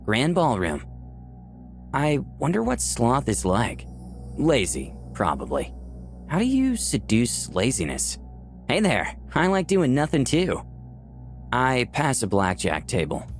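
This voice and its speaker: A man reading as a flirty, cocky personification of Lust, narrating a visual novel in a musing, theatrical way. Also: medium pitch. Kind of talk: first-person visual novel read aloud.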